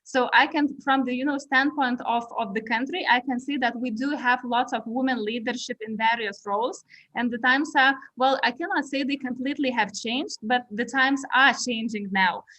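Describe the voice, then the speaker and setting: Woman in her 30s, high pitched, runs the panel, lots of restarts, video call. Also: fairly even intonation, moderate pace, bright, forceful tone, dry recording, rough recording. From Lithuania. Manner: hesitant, many restarts. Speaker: panel moderator. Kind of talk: online panel discussion.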